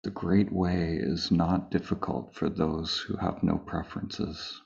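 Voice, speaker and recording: A man with a low, gravelly voice teaches meditation in a calm manner. He leaves long pauses between short phrases and keeps his tone very even. The sound is a little dull and muffled. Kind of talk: slow guided meditation, spoken live.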